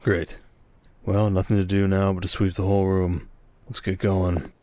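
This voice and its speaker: A man playing a stern, protective merchant lord in a roleplay audio drama, speaking in a dry, measured, commanding way. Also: low-pitched, flat delivery, warm tone, no room sound, lightly acted. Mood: intent, dry.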